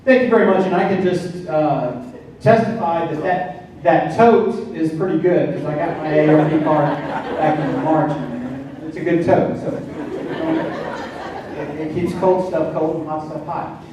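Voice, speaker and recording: A man in his fifties making an announcement at a staff meeting, halting and restarting his sentences. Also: fairly high pitch, a crowd in the background, background noise. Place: large meeting room. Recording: echoey hall from far off.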